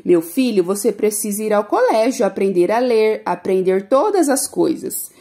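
Gentle storybook mother, calm and explaining, mellow voice, as a woman puts her on. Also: mid-range voice, normal speed, lightly acted. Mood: patient.

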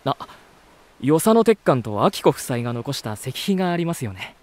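A man's voice: fairly high pitch, big pitch swings, normal speed, a little mellow. An actor playing a polite, curious male visitor in an anime-style voice drama, both talking and narrating earnestly.

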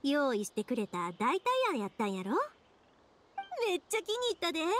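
A woman playing a cheerful, warm-hearted young fireworks maker in an anime-style game, speaking expressive, friendly Kansai dialect. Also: high-pitched, a little fast, slight background noise. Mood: excited, grateful. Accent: Kansai Japanese. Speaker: voice actor in a video game.

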